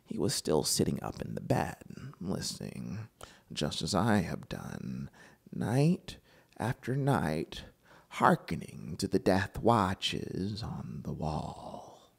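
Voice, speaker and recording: A low, gravelly madman tells a gothic horror tale. He is obsessive and gloating, drawing words out slowly and lurching theatrically between whispers and outbursts. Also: sing-song, exaggerated voice. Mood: tense, creeping. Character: deranged murderous male narrator, gloating and obsessive. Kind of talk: dramatic horror story reading.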